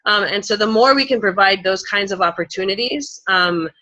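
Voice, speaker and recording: Woman, bright tone, mid pitch, panel discussion, earnest, reflective, halting delivery. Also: poor audio.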